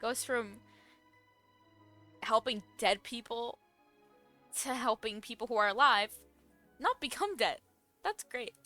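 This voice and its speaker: A woman's voice: on the high side, expressive, takes it slowly, pauses often, sharp tone, soft background music. A woman giving casual, playful reaction commentary as a streamer, full of questions and sarcastic asides. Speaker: streamer reacting to a game story.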